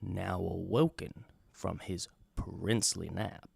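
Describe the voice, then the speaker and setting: A man storytelling in the persona of a travelling bard, theatrical and wry, dropping toward hushed tones and swelling for emphasis. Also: low voice, fairly even intonation, takes it slowly, creaky, breathy edge, dry recording. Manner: theatrical, hushing to near whispers and swelling for emphasis; wry, conversational asides to the listener. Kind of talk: dramatic folk-tale storytelling, part radio drama.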